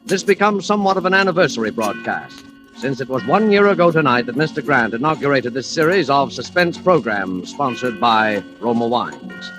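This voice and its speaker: A man's voice: mid-range voice, sing-song, moderate pace, rough recording. A man announcing and hosting a 1940s radio show in a formal, scripted style. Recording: old radio broadcast with music.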